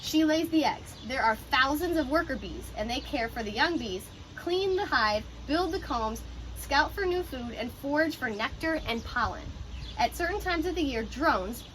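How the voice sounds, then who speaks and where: Bright, high-voiced woman reading aloud. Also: lively intonation, moderate pace, a little hiss or hum, low-quality recording. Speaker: teacher reading a picture book aloud. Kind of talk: children's storybook read-aloud with explanatory asides.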